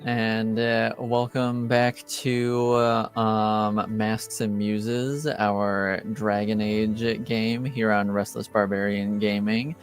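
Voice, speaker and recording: A man speaking as game master and host of a tabletop game stream, with a halting, deliberate delivery. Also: lowish voice, flat delivery, soft background music.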